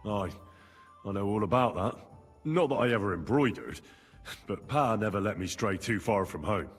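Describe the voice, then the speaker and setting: An actor playing an earnest young commoner adventurer in a video game, plain-spoken, polite and friendly. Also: male voice, fairly low pitch, lively intonation, normal speed, breathy edge. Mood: earnest admiring. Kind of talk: video game dialogue acting. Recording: long reverb, faint game music behind.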